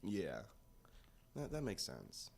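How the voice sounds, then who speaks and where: A deep-voiced man in a casual conversation, playing the co-host. He sounds easygoing and agreeable as he asks questions and reacts. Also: huge pitch swings, slow pace, vocal fry, a little mellow. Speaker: podcast co-host, asking and reacting. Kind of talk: casual chat.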